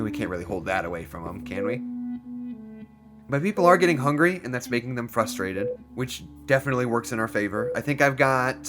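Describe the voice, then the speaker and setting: A man playing an earnest, hesitant striker in an audio drama monologue, musing haltingly. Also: on the low side, lightly acted. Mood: tired, wry.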